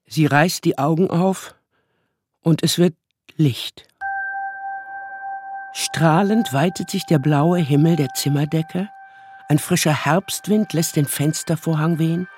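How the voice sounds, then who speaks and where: A man narrating a radio play as storyteller of a child's magical world, with measured, dramatic phrasing. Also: a little high, fairly even intonation, music in the background. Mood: storytelling.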